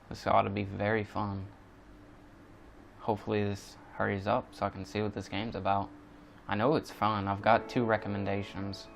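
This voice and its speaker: A man's voice: on the low side, gravelly, a little hiss or hum. A man giving casual let's play commentary, rambling and thinking aloud as he goes. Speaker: gaming YouTuber. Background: game music.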